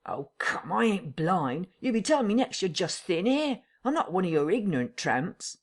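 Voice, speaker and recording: A woman's voice: very animated, moderate pace, darkish tone. A woman doing a bewildered, slangy male tramp. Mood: incredulous. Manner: exclaiming, slangy, high and drawn out. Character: bewildered scruffy male tramp, easily rattled. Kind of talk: audiobook character voice.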